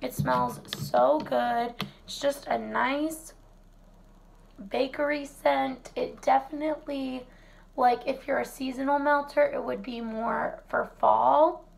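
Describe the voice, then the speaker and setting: Hoarse woman, very gravelly, high-pitched, unhurried chatty product-review vlogger. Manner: chatty, relaxed, many fillers. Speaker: wax melt reviewer. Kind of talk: casual product review vlog. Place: home kitchen, indoors.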